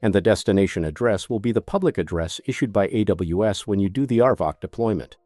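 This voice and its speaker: Male tutorial narrator, methodical product demonstrator with animated intonation, recorded close and dry. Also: on the low side, quick pace, dry recording, faint music behind. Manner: methodical, step-by-step instruction.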